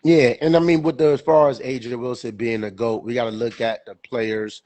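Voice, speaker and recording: A man's voice: medium pitch, fairly even intonation, fairly quick, a little mellow, no echo. A young man hosting a podcast, chatting casually about sports, stuffy with a cold and rambling with many fillers and repeats. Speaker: podcast co-host.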